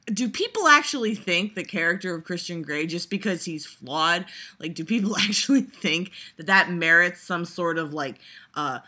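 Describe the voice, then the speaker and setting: Exasperated woman ranting with a bright tone. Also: fairly low pitch. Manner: exasperated, profane, lots of fillers. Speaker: vlogger reviewing a trailer. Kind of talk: casual ranting reaction.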